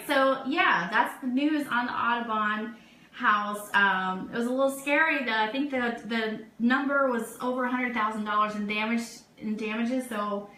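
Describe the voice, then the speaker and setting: Female webcast host, informal and chatty, forceful bright tone, echoey room with faint noise. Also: medium pitch. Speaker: radio show host. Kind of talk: casual live webcast news chat. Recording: roomy echo, faint background noise.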